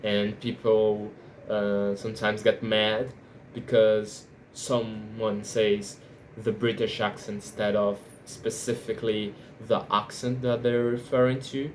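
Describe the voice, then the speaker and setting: Brazilian man, slow and careful, stumbling over restarts, faint background hiss. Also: lowish voice, level tone, a little mellow, rough recording. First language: Portuguese. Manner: halting, repeats words and restarts sentences. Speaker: language learner vlogger. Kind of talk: unscripted monologue.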